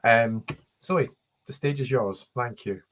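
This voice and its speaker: A man's voice: mid-range voice, fairly bright tone. A man hosting an online panel, thanking speakers and handing over with a few ums. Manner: friendly, brisk handovers with ums. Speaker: panel host.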